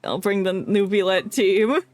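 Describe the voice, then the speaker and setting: A woman's voice: mid-range voice, fairly even intonation, moderate pace, darkish tone, dry recording, soft background music. A woman streamer giving casual, playful, joking commentary on a game she plays with a friend.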